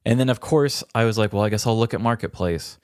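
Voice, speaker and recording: Male podcast co-host, lower-pitched, wry and self-deprecating, casual comedic storytelling, close dry recording. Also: fast, darkish tone, clear, resonant tone, dry recording.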